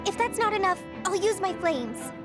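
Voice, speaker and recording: An actress playing an earnest, easily flustered young woman in an anime game, with expressive delivery. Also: very high voice, normal speed, over music. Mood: earnest, helpful.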